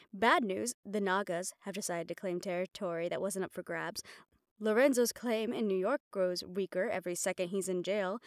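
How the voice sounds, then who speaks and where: A woman's voice: mid-range voice, no echo, lightly acted. Woman acting a proud, exasperated underworld assistant, dry sound. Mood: tense, businesslike. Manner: sighing, exasperated, self-reproachful, pausing. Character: mafia boss's proud, frustrated female human personal assistant. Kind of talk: intimate roleplay audio drama.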